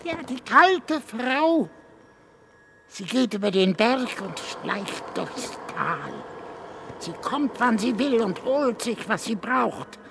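A man's voice: very high voice, expressive, vocal fry, background noise, exaggerated voice. An older man playing a dying old goatherd in a radio drama, bitter and fatalistic, with a thin, frail, brooding delivery. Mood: grim, brooding. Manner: slow, brooding, ominous.

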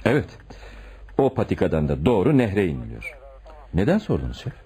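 An actor playing a deferential but shrewd police commissioner in a radio play, respectful and probing in manner. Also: male voice, low voice, huge pitch swings, fairly quick, dark tone, exaggerated voice. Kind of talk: Turkish radio theatre acting.

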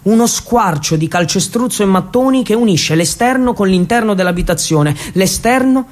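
A man delivering scripted theatrical narration, emphatic and dramatic, with deliberate pauses. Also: high voice, expressive. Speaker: actor and storyteller.

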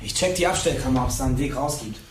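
An actor playing a bossy, frustrated teenage schoolboy in a radio drama, curt and commanding, in an echoey room. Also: male voice, mid-range voice, rapid-fire, noisy background, close to an everyday voice. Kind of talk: modern radio drama acting. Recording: echoey room with music underneath. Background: music.

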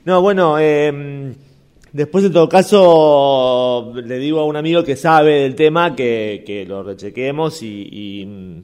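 A man's voice: a little high, slow pace, a little mellow, clear, resonant tone. A man with an Argentine accent playing a flustered, evasive fellow who stammers through an improvised radio theatre exercise. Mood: awkward, evasive. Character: flustered, evasive man muttering foul-mouthed asides.

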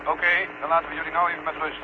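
An actor playing a genial, trusting general at mission control, hearty and encouraging through a radio filter in an old drama. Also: male voice, a little high, level tone, racing. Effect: radio-link filter. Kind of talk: 1970 Dutch radio drama acting. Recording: tinny, noisy radio-link sound.

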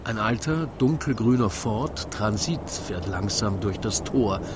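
A man with an Austrian accent narrates a scripted radio play in rhyming verse, in a wry, deliberate, theatrical way. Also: fairly low pitch, animated, noisy background.

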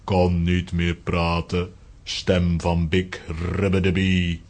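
A man's voice: low voice, completely flat delivery, slow, a little mellow. A man playing a blunt, simple strongman in a children's audio drama, talking in broken, clipped phrases. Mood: flat.

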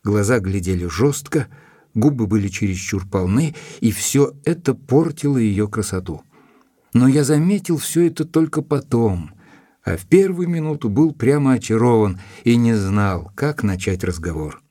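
Man playing a gentleman investigator, mellow, slightly husky, measured, polite, formal, audio drama with music behind. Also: medium pitch, expressive, lightly acted. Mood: reflective, measured. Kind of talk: dramatized audiobook narration and acting.

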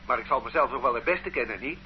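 A man's voice: medium pitch, lively intonation, fast talker, natural voice. Man playing a flustered, defiant accused man. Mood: defensive. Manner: flustered, indignant. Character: ordinary man accused, anxious and stubborn. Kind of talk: radio drama acting. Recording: dull old radio recording with faint hum.

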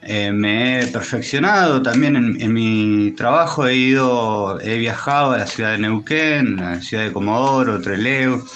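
A man with an Argentine Spanish accent answering interview questions in a thoughtful, hesitant way with many pauses. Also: medium pitch, a bit bright. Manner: hesitant, thoughtful, pausing often. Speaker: interview guest, tattoo artist.

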